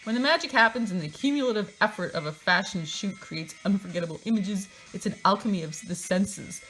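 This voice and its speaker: A woman's voice: medium pitch, a little fast, a bit bright, faint music behind, low-quality recording. Sardonic woman doing a theatrical reading. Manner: theatrical, sardonic, breaks into asides. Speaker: host reading a book aloud with asides. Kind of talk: mocking dramatic reading with casual commentary.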